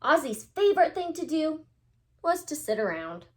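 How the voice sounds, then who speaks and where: A woman with a fairly high voice that swings widely in pitch. She speaks as a teacher to young children, reading and explaining with expressive emphasis. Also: moderate pace. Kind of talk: animated storybook read-aloud and lesson for young children.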